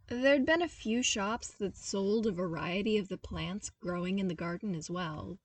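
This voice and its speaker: A woman reading a story aloud in an expressive, scripted narration style. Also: mid-range voice, recorded from a distance. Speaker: podfic narrator reading fan fiction.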